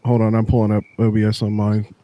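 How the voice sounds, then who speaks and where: A man's voice: lowish voice, monotone, fast, mellow. A man in casual chat, explaining streaming settings with many restarts. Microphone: desk mic through a mixer.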